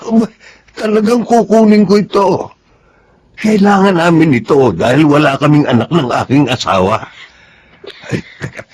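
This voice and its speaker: A man's voice: fairly high pitch, normal speed, pauses often, darkish tone, slight background noise, natural voice. A man playing a concerned, kindly and decisive husband in a radio melodrama, questioning and emphatic. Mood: surprised, businesslike. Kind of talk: Filipino radio melodrama acting.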